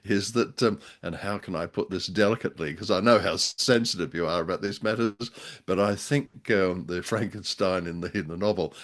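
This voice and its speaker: A man's voice: low voice, moderate pace, fairly bright tone. An older man co-hosting a podcast in casual chat, with a droll, hesitant and wordy way of talking. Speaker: podcast co-host. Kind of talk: casual chat about old radio drama.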